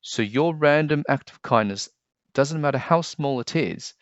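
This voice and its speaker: Slow, gentle man reading to kids. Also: medium pitch, lively intonation, no room sound, muffled recording. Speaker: children's book reader. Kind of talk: reading a picture book aloud and chatting to children.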